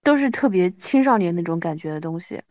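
A woman in her thirties chatting casually and reminiscing as a podcast guest, animated and self-mocking. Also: lowish voice, brisk, no echo, lo-fi sound. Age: 30s.

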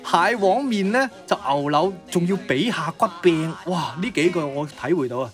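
A man's voice: a little high, animated, moderate pace. A young man speaking Cantonese, co-hosting in a casual, explanatory chat with an attentive, giggly manner. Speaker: podcast co-host. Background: music behind the voice.

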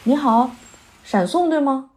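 一位女演员在广播剧里饰演刚下戏、略显疲惫的戏曲女演员，语气客气口语化，偶尔迟疑。. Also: female voice, high-pitched, animated, a little slow, a little mellow, slight background noise. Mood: apologetic, curious. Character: polite, weary female opera performer after a late show. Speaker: stage actress in an audio drama. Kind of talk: naturalistic modern audio drama dialogue.

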